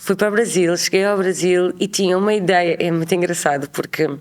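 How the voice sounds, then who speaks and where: A woman in her thirties from Portugal with a European Portuguese accent, a guest telling travel stories in a chatty, lively way. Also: lowish voice, fairly quick. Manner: chatty, animated, acts out quoted voices. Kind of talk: casual storytelling interview.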